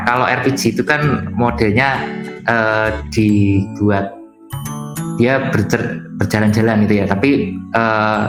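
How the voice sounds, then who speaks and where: A man explaining things haltingly as the instructor of an informal online workshop. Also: medium pitch. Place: indoor room on an online video call. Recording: roomy echo, music underneath. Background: soft guitar music.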